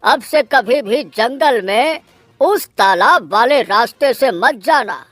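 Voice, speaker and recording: Woman as an earnest, slow-talking village headman. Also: a little high, lively intonation, a bit bright, no room sound, heavily acted. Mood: grave warning. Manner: earnest, cautioning. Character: solemn village headman warning his people. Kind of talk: Hindi kids' cartoon story acting.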